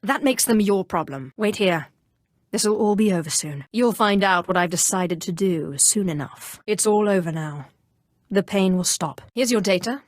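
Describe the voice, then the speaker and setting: A woman's voice: medium pitch, moderate pace, no room sound, close to an everyday voice. Casting: actress for female Sith Inquisitor, game role. Cold, imperious, threatening, clipped delivery, mid-range voice, very dry studio sound. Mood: cold menace, then haughty impatience. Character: haughty, menacing female Sith Inquisitor, ambitious former slave. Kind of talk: video game character dialogue.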